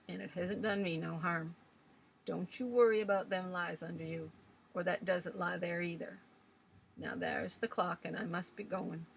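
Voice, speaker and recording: A woman reading aloud voices a cantankerous old rustic man who speaks loudly with scornful bluster and broken country grammar. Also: fairly low pitch, creaky, a little hiss or hum, rough recording. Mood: gentle. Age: older.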